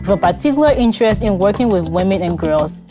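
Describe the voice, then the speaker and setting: A young adult woman from Liberia hosting a podcast, reading a formal, measured introduction and asking polite interview questions. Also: mid-range voice, animated, over music. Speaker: podcast host.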